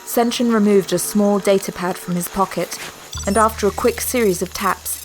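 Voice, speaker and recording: A woman's voice: mid-range voice, steady tone, moderate pace, soft background music, poor audio. A woman narrating an audio drama from a script, as a storyteller between scenes. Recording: clear ambient sound behind.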